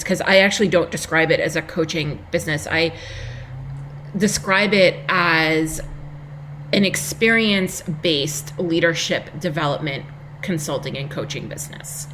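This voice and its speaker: A woman's voice: lowish voice, a bit bright, slight background noise, poor audio. A woman with a New York accent, a business coach speaking as a podcast guest, confident and articulate. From Long Island, New York. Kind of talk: podcast interview.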